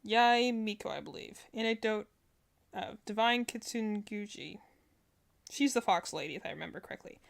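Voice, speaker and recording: A woman's voice: medium pitch, normal speed, gravelly. A woman giving casual reaction commentary as a streamer, rambling and often searching for her words. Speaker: streamer reacting to game character trailers.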